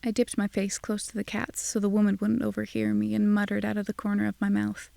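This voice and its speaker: Wry, mellow, gravelly woman narrator. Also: medium pitch, completely flat delivery, brisk, no room sound. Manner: wry, sardonic. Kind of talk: scripted first-person audiobook drama narration.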